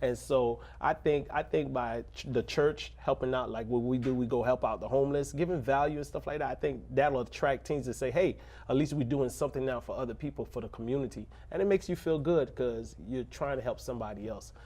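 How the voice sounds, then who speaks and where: A man who grew up in a rough neighborhood, speaking as a pastor in a casual interview, earnest and preacherly, breaking into laughs and jokes. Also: medium pitch, a little fast, few pauses, a little mellow. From Little Haiti, Miami.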